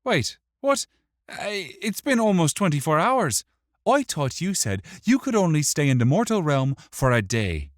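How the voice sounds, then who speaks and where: Man voicing an urgent, higher-pitched small young hero. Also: very animated, unhurried, no echo, studio quality. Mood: shocked. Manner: urgent, demanding. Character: small young male hero, anxious, exasperated, bossy. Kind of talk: audiobook character voice.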